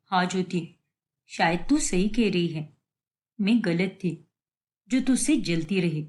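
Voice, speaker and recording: A woman's voice: on the low side, lively intonation, slow, darkish tone, voice pushed down. A woman voicing a jealous daughter-in-law in a moral-story cartoon. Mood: remorseful. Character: jealous, scheming daughter-in-law, later repentant.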